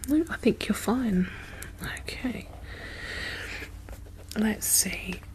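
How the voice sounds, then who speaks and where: A woman doing a soft-spoken, whispered ASMR caregiver roleplay in a gentle, murmured, reassuring way. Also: medium pitch, animated, very slow.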